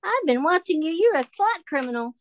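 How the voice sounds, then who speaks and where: Woman as a shrill, spiteful little girl informer. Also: sing-song, brisk, dry recording, full character voice. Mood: accusing, taunting. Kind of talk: audio drama acting.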